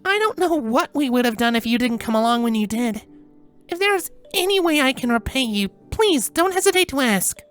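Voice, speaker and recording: A man's voice: extremely high pitch, animated, normal speed, a bit bright, clean tone, soft background music, pitched above the performer's own voice. A man voicing a kind, poised female winged unicorn, wry and earnest, in a story reading. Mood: grateful.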